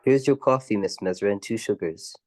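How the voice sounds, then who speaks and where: A man's voice: on the low side, normal speed, a little mellow, lightly acted. A man playing a helpful, keen newsroom employee in an old-time radio comedy. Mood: obliging.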